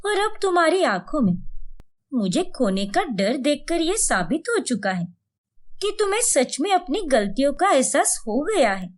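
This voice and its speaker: A woman's voice: high voice, very animated, unhurried. A woman playing a young wife in a dramatized story, speaking with emphatic emotion. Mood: tender, forgiving.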